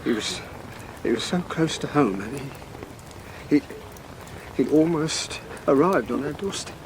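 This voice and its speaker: A subdued, grieving husband in a radio drama, speaking haltingly. His voice is a little high for a man, with clear noise behind it. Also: animated. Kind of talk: audio drama acting.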